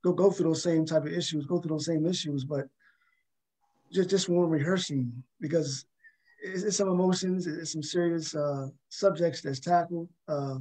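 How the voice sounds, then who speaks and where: A man hosting an unscripted panel interview, halting and often repeating his words. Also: on the high side, steady tone, a little mellow. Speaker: talk show host interviewing a cast. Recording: dull, lo-fi sound.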